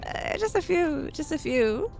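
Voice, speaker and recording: Hoarse, giggly woman streamer, slow talk. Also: high-pitched, very animated, darkish tone, faint music behind. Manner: giggly, rambling, playful. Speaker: VTuber streamer. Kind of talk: casual chatting stream.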